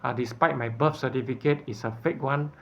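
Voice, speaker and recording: A man from Malaysia, speaking in an earnest, halting way in an unscripted personal appeal, often stopping to search for words. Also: medium pitch, fairly even intonation, vocal fry, a bit bright, lo-fi sound, slight background noise.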